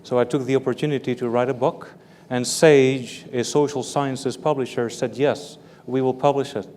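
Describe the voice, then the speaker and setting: A man from Belgium, a native French speaker, answering interview questions as an author and professor, careful and measured, repeating words as he explains. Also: medium pitch, fairly even intonation, a little mellow. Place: large hall with live audience. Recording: long hall reverb with faint room noise.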